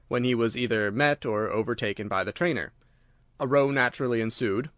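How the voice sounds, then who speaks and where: A man voicing a keen, logical, confident gentleman detective in an audiobook, with an articulate, measured, argumentative delivery. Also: mid-range voice, no echo, studio quality, close to an everyday voice. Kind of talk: audiobook character dialogue.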